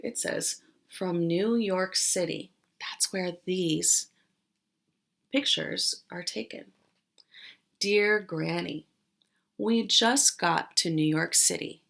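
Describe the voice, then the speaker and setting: Female kindergarten teacher for a children's read-aloud. Slow pace, medium pitch, simple explanations, addresses young listeners directly. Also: gravelly, fairly bright tone.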